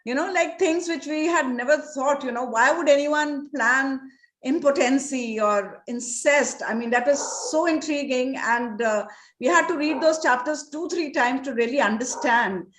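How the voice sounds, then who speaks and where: High-voiced Indian-accented woman in echoey room. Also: unhurried. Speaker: interview host. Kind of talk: conversational interview. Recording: roomy, echoing sound.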